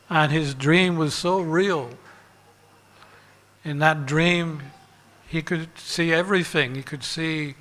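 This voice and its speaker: A man giving a religious sermon as a monk and teacher, deliberate, pausing and repeating phrases for emphasis. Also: fairly high pitch, sharp tone. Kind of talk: sermon with parables and rhetorical questions. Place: large reverberant temple hall. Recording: long hall reverb.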